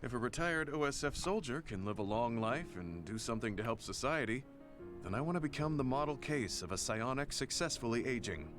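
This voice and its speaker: A man voicing an earnest, reserved older soldier in a video game drama, speaking in a measured, sincere and formal way. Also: mid-range voice, animated, close to an everyday voice. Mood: resolved. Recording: loud music behind the voice. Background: background music.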